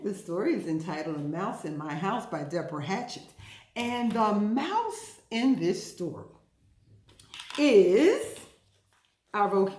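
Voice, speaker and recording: A woman leads a children's storytime lesson with very lively, swooping intonation. She takes her time and stresses her words like a teacher. The room around her voice is clearly echoey. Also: medium pitch. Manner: emphatic, deliberate teacherly delivery with repetition and questions to listeners. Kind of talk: interactive children's read-aloud and vocabulary lesson. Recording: roomy, echoing indoor recording.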